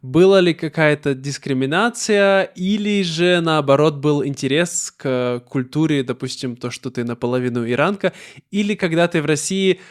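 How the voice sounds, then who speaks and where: A man from Russia hosting a slow conversational interview, phrasing his questions carefully and rewording them as he goes. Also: on the high side. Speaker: interviewer, host.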